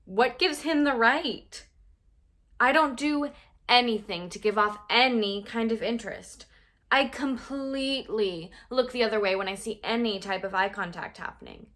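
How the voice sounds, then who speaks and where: A woman's voice: on the high side, normal speed, fairly bright tone, close to an everyday voice. A woman performing a comedic monologue as an exasperated young woman, sarcastic and fed up. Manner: exasperated, sarcastic, mocking men's lines.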